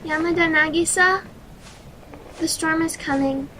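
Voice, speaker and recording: A woman's voice: extremely high pitch, steady tone, a little slow, sharp tone, a little hiss or hum, heavily acted, poor audio. A woman playing an eccentric, childlike schoolgirl in an audio drama, with a whimsical, theatrical way of talking. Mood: ominous, dreamy. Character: eccentric, childlike schoolgirl who tells fanciful lies.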